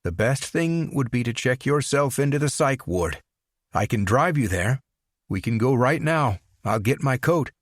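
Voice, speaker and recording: A man's voice: medium pitch, animated, unhurried, dry recording, studio quality, voice pushed up. A man voicing an older father pleading with his dangerous son.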